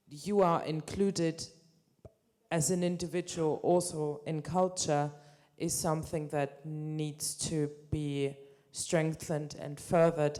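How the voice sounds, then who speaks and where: A woman's voice: sits low, a little mellow. A woman speaking earnestly on a conference panel, asking pointed questions and using fillers. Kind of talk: panel discussion. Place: conference stage.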